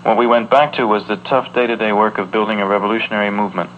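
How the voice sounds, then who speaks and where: A man's voice: lowish voice, fairly even intonation, fast talker. A man, an activist, reads a reflective prepared narration. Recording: dull, noisy archival audio.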